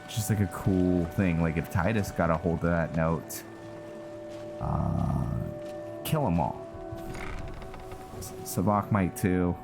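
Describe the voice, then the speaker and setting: Slow, deep-voiced man narrating his gameplay. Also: gravelly. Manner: musing, rambling, talking to viewers. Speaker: gaming channel host. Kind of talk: casual game roleplay commentary. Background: game music.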